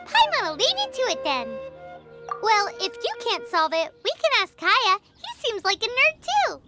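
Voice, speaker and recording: A woman's voice: extremely high pitch, wildly swooping pitch, normal speed, full character voice. A woman voicing a tiny, chirpy fairy-like girl companion in a game, who bubbles along and talks about herself in the third person. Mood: teasing. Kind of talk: game dialogue voice acting. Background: game music.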